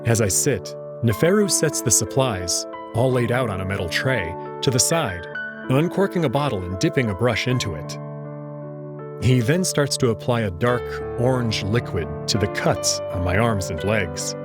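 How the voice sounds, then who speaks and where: A man's voice: medium pitch, animated, normal speed, music in the background. A man reading a first-person story as narrator in a dramatic storytelling style. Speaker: narrator and reader.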